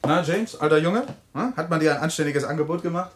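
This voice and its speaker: A man's voice: a little high, fast, a little hiss or hum, close to an everyday voice. An actor playing a teasing male friend, chummy and joking, hesitating with little fillers. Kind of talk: radio play acting.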